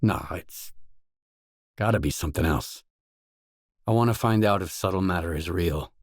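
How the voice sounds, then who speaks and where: A man's voice: low-pitched, moderate pace, no echo, studio quality. A man playing a guarded, determined post-apocalyptic stalker in a video game, speaking in a terse, probing, matter-of-fact way. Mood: serious, intent. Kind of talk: isolated video game dialogue lines.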